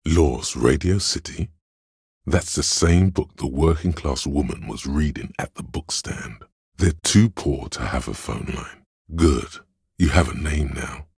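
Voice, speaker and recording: A man voicing a cold, analytical inner voice in a detective video game, very deep, gravelly and husky, speaking in a measured, detached way. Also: no echo, clean studio sound, heavily acted. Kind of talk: scripted video game narration.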